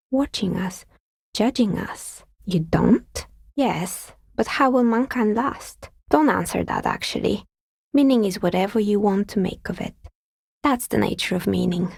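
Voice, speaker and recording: A dry, pragmatic dicemaker lady for a video game, breathy gravelly voice, really close dry sound. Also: medium pitch, a little mellow, no room sound. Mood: philosophical. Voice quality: husky, breathy with some creak. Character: wry, pragmatic woman craftsperson, a novelty dicemaker. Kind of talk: video game character dialogue.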